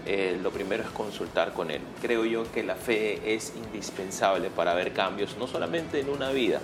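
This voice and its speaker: A man's voice: fairly low pitch, expressive, normal speed, over music. A man with a Peruvian accent answering interview questions conversationally as a guest, hesitating and adding tag questions. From Peru. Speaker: guest, political candidate. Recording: clear background noise.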